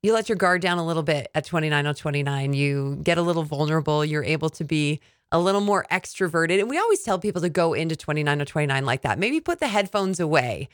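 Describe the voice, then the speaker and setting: A woman hosts a podcast with a fairly low voice and a dry, close sound. She speaks enthusiastically and draws out her guest with questions. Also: expressive, fast talker, few pauses, no echo. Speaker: podcast host. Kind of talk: podcast interview.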